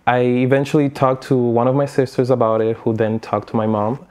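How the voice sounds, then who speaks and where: Young male interviewee from the Caribbean, clear smooth voice, mid pitch, reflective personal testimony. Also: level tone, brisk, a little mellow. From Saint Martin, Caribbean. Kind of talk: personal life testimony to camera.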